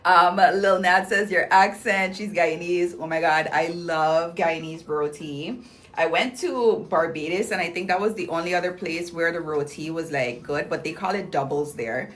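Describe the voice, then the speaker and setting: A woman's voice: fairly low pitch, fairly even intonation, normal speed, a little hiss or hum. A young woman hosting a casual livestream, chatting in a bubbly, slangy way with lots of "like" and "um" as she reads viewer comments. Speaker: livestream host promoting clinic deals.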